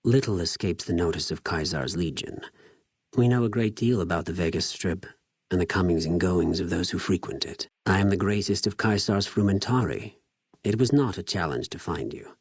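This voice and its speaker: An actor playing a cold, cunning spy of a Roman-styled slaver army in a video game, speaking in a measured, formal, menacing way with precise diction. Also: male voice, sits low, breathy edge, no echo, studio quality. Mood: solemn, proud. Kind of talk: scripted video game character dialogue.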